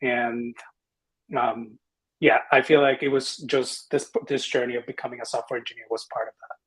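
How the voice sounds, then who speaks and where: A man's voice: medium pitch, steady tone, normal speed, husky, rough recording. A man from the Dominican Republic speaking as a panelist, casually and enthusiastically introducing himself. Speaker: panelist, software engineering manager.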